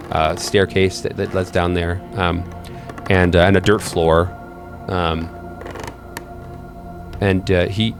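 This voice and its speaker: Low voice guy game mastering, halting improvised storytelling, music underneath. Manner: halting, hesitant, measured storytelling. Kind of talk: improvised tabletop game narration.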